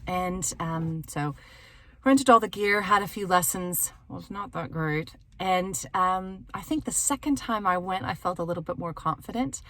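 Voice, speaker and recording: Lady with a slightly low voice chatting to viewers in her car, animated storyteller, kinda giggly. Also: a little slow. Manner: animated, giggly, acts out dialogue. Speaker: host of a storytelling vlog. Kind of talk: casual personal storytelling to viewers. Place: inside a parked car.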